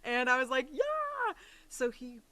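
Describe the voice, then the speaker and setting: A woman's voice: high-pitched, very animated, a little slow, pushed and bright. A woman talking casually as an interview guest on a podcast, effusive and chatty, with slangy quoted asides.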